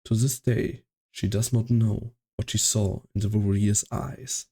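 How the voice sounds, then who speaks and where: Man as solemn narrator, very dark voice. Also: on the low side, steady tone, unhurried, creaky, husky, exaggerated voice. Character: solemn, grave storybook narrator. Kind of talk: scripted dramatic narration in a fan dub.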